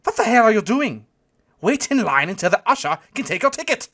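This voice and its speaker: Storybook German impresario: irritable, self-important, heavy accent, high-pitched bark. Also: male voice, animated, fast. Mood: impatient, irritable. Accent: thick German. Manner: barking, imperious, shouting. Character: rotund bald German showman, irritable and pompous.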